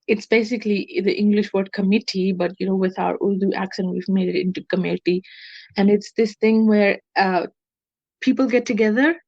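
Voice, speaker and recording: A woman's voice: mid-range voice, a little mellow, no room sound. Young woman, podcast host, casual chat, restarts sentences, dull lo-fi sound, dry close mic. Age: young adult. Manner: chatty, restarts sentences often.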